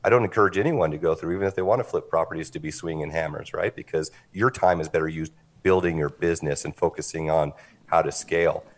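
A man giving an articulate, businesslike pitch as an interview guest, explaining things in a measured, polished way. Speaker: interview guest, company representative.

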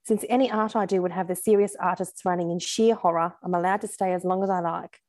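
A woman's voice: lowish voice, fairly even intonation, quick pace, a little mellow, no echo, lightly acted. A woman reading the part of a friendly, self-deprecating heroine in a romance novel, modest and chatty.

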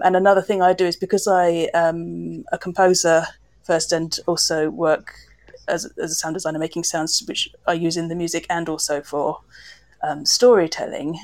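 A woman's voice: on the low side, a little mellow. A woman composer and sound designer talking reflectively on a panel, thoughtfully searching for her phrasing as she explains her work.

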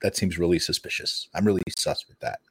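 A man's voice: low voice, steady tone, quick pace, slightly rough, dry recording. A man chatting casually as a talk show co-host, with a joking, wry manner. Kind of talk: casual chat.

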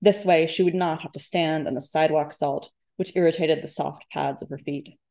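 A woman author reading literary first-person fiction aloud in measured, deliberate phrases. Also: low-pitched, steady tone, vocal fry, warm tone. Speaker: debut author, guest.

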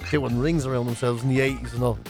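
A man's voice: medium pitch, steady tone, fast, a little mellow, soft background music. An Irish man hosting a radio show with casual, irreverent comic banter and slangy storytelling.

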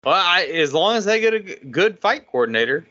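Bright-toned man reading podcast emails. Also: high voice, big pitch swings, brisk. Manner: laughs often while reading, loose and chatty. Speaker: podcast co-host reading listener feedback. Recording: dull lo-fi podcast audio.

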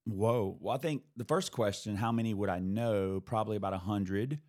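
A man's voice: fairly low pitch, moderate pace, darkish tone. A man hosting a casual podcast conversation, thoughtful and steering the talk with questions. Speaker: podcast host.

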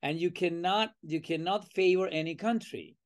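Older Venezuelan man, lively storyteller. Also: sits high, moderate pace. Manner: animated, acts out remembered dialogue, many fillers. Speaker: professor and podcast guest. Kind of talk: casual storytelling interview.

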